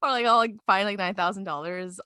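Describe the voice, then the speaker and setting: A woman's voice: medium pitch, a little fast, bright, forceful tone, smooth, no room sound, studio quality. A young woman with an American accent, chatting casually and informally as a podcast co-host, giggly in manner. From California, United States. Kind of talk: casual chat.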